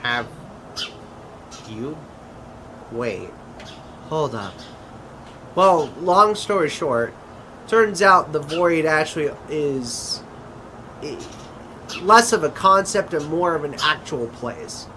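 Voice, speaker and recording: A man acting a chatty interdimensional adventurer in a conversational audio drama, with a halting, hesitant delivery. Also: on the high side, lively intonation, sharp tone, a little hiss or hum, heavily acted. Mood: awkward, explaining.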